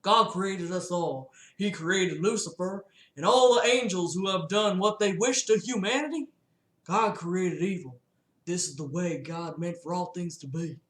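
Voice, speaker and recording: A woman voicing a gruff, cold-hearted middle-aged father in a flat drawl, imitating a well-known cartoon dad. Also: fairly low pitch, unhurried, natural voice. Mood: bitter. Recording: roomy echo.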